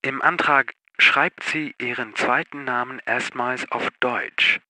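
A man's voice: mid-range voice, steady tone, slow pace, pushed and bright, poor audio. A man playing an official who reads out a record in an audio documentary. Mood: matter-of-fact.

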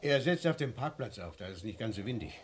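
An actor in a German radio crime drama playing a terse, matter-of-fact, businesslike colleague on an urgent mission. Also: male voice, lowish voice, animated, fast talker, close to an everyday voice. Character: brisk, matter-of-fact helicopter team colleague. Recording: very noisy radio drama scene.

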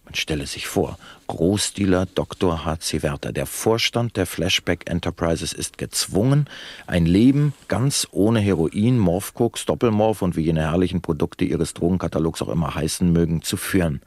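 Unctuous, low, husky talk show host. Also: male voice, normal speed, dry recording. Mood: mock pity. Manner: unctuous, theatrically solemn, flattering. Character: slick, unctuous male talk show host, mock-sincere, sensationalist. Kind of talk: satirical radio play acting as broadcast presenter.

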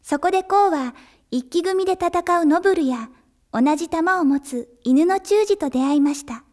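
A woman's voice: extremely high pitch, lively intonation, moderate pace, a little mellow. A woman voicing a young girl who narrates an anime recap in a polite storytelling manner. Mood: narrating.

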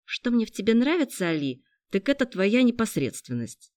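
A reader voicing a confident, sharp-witted young law student in an audiobook, speaking in an assured, explaining way. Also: medium pitch, very animated, normal speed, no echo, clean studio sound, pitched above the performer's own voice, close to an everyday voice. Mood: teasing.

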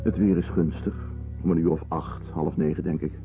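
Very deep-voiced, unsentimental doctor. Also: male voice, steady tone, normal speed, soft background music. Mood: calm, courteous. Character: cool, unsentimental ship's doctor, pragmatic and fatalistic. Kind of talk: radio drama acting. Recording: muffled lo-fi old radio play recording.

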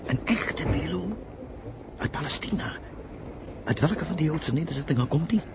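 An actor in a radio play voicing a quiet, thoughtful, dutiful young brother who speaks hesitantly. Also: male voice, fairly high pitch, animated, normal speed, creaky. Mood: cautious, curious. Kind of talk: radio drama acting. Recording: noisy old radio recording.

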